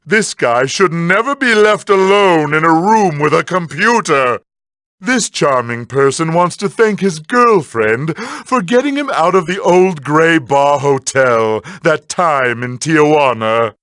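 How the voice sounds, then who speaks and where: An actor playing a sardonic evil mad scientist villain in a game, with pompous, mocking, drawn-out theatrical delivery. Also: male voice, fairly high pitch, lively intonation, fairly bright tone, no echo, clean studio sound. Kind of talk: scripted comedic in-character monologue.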